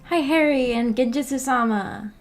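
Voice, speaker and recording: A woman's voice: high voice, normal speed, soft background music. A woman hosting a livestream, chatting casually with viewers in an informal, hesitant, giggly way. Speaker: livestream host.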